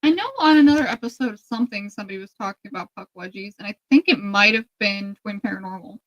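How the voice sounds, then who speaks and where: A soft-spoken woman whose voice is mid-pitched for a woman. She chimes in with brief, quiet remarks during a casual paranormal chat. Her voice comes across small and unforced. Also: quick pace, dry recording, dull audio. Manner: soft-spoken, describes her own voice as tiny. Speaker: co-host of a paranormal talk show.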